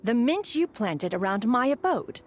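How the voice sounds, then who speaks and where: A woman's voice: a little high, very animated, a little slow, slight background noise. A woman playing a haughty, imperious immortal in a game, stately and pointed in an archaic way. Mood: pointed, menacing. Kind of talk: scripted game voice acting.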